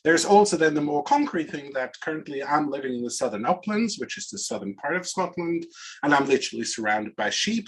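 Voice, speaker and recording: A middle-aged man from Switzerland with a Swiss accent, presenting informally as a guest speaker in a halting, reflective manner. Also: medium pitch, husky. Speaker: agile coach and guest speaker. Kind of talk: informal conference-style presentation.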